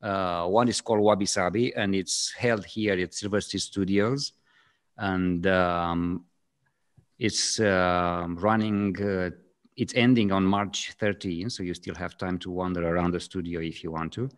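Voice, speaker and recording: A man in his thirties with a strong Romanian accent, co-hosting a casual video call chat, talking hesitantly with many pauses. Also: low-pitched, steady tone. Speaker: co-host, photographer. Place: home studio with framed art on walls. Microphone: studio mic on boom arm.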